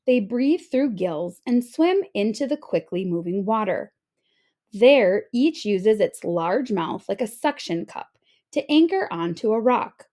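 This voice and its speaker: A woman reading a children's nonfiction book aloud like a teacher, expressively, with clear emphasis on headings and questions. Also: mid-range voice, a little mellow.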